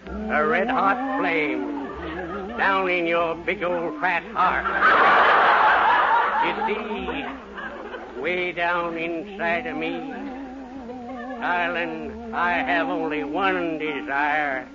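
A man's voice: fairly high pitch, drawn-out words, pauses often, over loud music. A man playing a brash comic crooner serenading his sweetheart in a 1940s radio comedy. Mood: hammy, lovestruck. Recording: dull lo-fi 1940s radio recording.

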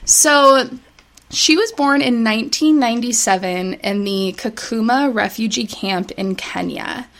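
A woman's voice: mid-range voice, gravelly, a bit bright. A young woman co-hosting a podcast, telling a life story in casual chat, with many fillers and the odd swear word. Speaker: podcast co-host.

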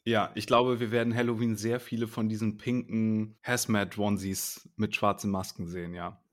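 A man's voice: lowish voice, flat delivery, moderate pace. A man co-hosting a podcast, chatting casually and easily about a series, laughing now and then. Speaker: podcast co-host. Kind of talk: casual chat reviewing a series.

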